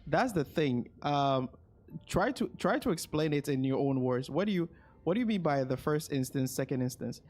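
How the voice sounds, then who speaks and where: A man's voice: on the high side, expressive, normal speed, darkish tone, faint music behind. A man doing casual live game commentary as a streamer, thinking aloud hesitantly.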